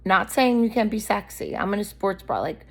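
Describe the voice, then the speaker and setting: A woman's voice: medium pitch, animated, normal speed, gravelly, a bit bright, slightly rough. Blunt woman ranting on a vlog. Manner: candid, blunt, rambling rant. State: losing her voice from allergies. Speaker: vlogger. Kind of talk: unscripted rambling monologue.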